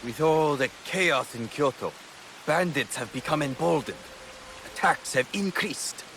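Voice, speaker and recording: A man playing a grave, dutiful samurai in a feudal Japan game, formal and deliberate. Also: a little high, expressive, a bit bright, slight background noise.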